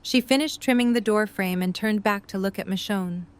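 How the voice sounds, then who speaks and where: A woman's voice: mid-range voice, normal speed, smooth. A woman reading scripted narration as the narrator of an audio drama.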